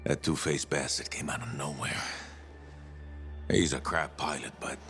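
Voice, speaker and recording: An actor playing a stubborn, hot-headed veteran fighter and tinkerer in a video game, gruff, exasperated and emphatic. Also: male voice, a bass voice, fairly even intonation, a little slow, fairly bright tone, soft background music, exaggerated voice. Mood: bitter. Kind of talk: dramatic video game cutscene acting.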